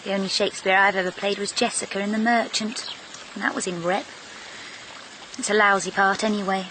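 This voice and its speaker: An actress playing a cool, sharp-witted British stage actress and wife in a radio mystery, dry, frank and offhand. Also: female voice, medium pitch, fairly even intonation, fairly quick, background noise, natural voice.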